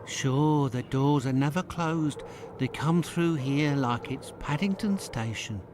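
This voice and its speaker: A gruff guy, blunt n unbothered, noisy game audio. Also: a little high, slow. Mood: flat. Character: gruff working-class London man, unbothered. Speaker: game character. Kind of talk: video game voice acting.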